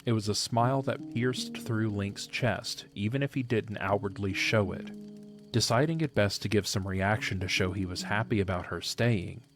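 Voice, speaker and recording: A man's voice: fairly low pitch, normal speed. A man narrating an audio drama, reading scripted third-person storytelling. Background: music.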